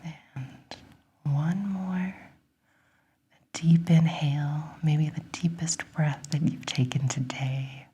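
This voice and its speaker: A woman whispering a guided relaxation in a gentle, soothing, breathy ASMR style, encouraging the listener. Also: low voice, fairly even intonation, extremely slow, slight background noise.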